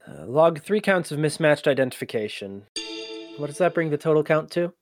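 An actor playing an inquisitive human archivist in an audio drama, with a conversational, halting, often exasperated manner. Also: medium pitch, animated, soft background music, lightly acted. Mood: hesitant, focused. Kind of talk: scripted audio drama acting.

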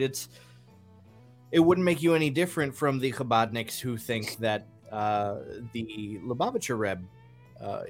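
Thoughtful man with faint music behind. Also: medium pitch. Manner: thoughtful, weighs words carefully. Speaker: podcast co-host. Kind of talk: casual religious discussion.

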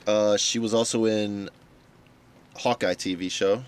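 Low-voiced, slightly raspy man. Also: fairly even intonation, measured pace, a loud crowd. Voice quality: a little raspy. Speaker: podcast participant. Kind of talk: casual movie chat.

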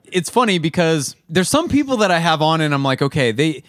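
Upbeat higher-voiced male podcast host. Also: very animated, a little fast, fairly bright tone, no echo, studio quality. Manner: upbeat, chatty, uses slang like bro and dude. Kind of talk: casual podcast interview.

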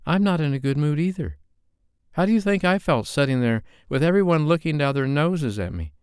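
A man's voice: on the high side, lively intonation, fairly quick, smooth, dry recording, clean studio sound, voice pushed up, lightly acted. A man voicing an exasperated, defensive woman in an audiobook. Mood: angry, hurt. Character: exasperated, hurt young woman, defensive with her boyfriend.

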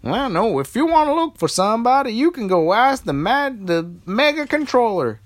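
A man's voice: very high-pitched, sing-song, normal speed, no room sound, clean studio sound, voice pushed up. A man voicing a grumpy, indignant lifeguard. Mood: huffy. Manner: indignant, huffy. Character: grumpy self-important adult lifeguard.

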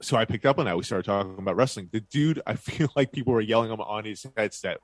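A man's voice: lowish voice, fast talker, no room sound. A man co-hosting a podcast in casual chat, talking with eager hype about upcoming events. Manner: enthusiastic hype-style promo delivery. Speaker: podcast co-host.

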